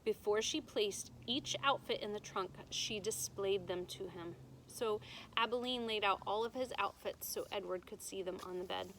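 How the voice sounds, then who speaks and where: A woman's voice: medium pitch, normal speed, fairly bright tone, rough recording. A woman teacher reading a storybook aloud to children, with emphatic delivery and simple explanations. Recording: noticeable background noise.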